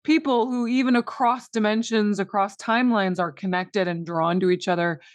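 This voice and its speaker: A woman with a middle-pitched voice talks as a podcast co-host. Her delivery is conversational and engaged, explaining ideas as she goes. The recording is dry and free of echo. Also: moderate pace. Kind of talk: casual recap discussion of a fiction podcast.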